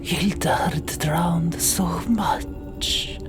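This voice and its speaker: A woman narrating an audiobook chapter in a soft, deliberate ASMR whisper. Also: lowish voice. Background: loud music.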